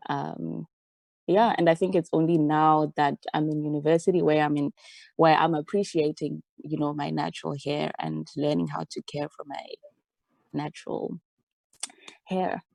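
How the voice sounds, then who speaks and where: Soft, gravelly young woman reflecting. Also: low-pitched, steady tone, moderate pace, no room sound. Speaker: discussion participant. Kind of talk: reflective personal sharing.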